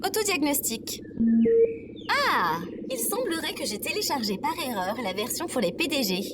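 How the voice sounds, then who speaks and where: A polite robot assistant voiced by a woman in a sci-fi comedy audio drama, high and lively in pitch. She teases with dry, cheerful courtesy. There is heavy noise behind her. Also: normal speed. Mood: matter-of-fact. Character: polite, dryly sarcastic female AI assistant robot.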